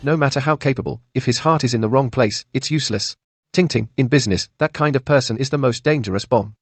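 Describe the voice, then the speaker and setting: A man's voice: mid-range voice, quick pace, no echo, lightly acted. A man playing a firm, shrewd older businessman father giving counsel in an audio drama. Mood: firm, advising. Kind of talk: dubbed melodrama audio acting.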